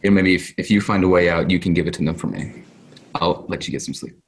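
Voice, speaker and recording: An actor playing a kind, loyal young friend in a table read, speaking informally and haltingly. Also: male voice, low voice, slightly rough. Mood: wistful. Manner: halting, informal, with trailing phrases. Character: kind, wistful, eager boy, loyal friend. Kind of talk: actor's table read over video call. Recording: noisy video call with clicks.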